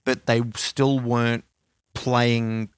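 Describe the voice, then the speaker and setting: Smooth-voiced Australian man, casual and slangy. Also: medium pitch, level tone, slow, no room sound, studio quality. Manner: rambling, slangy storytelling with swearing. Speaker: podcast co-host. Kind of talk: casual chat, music scene anecdotes.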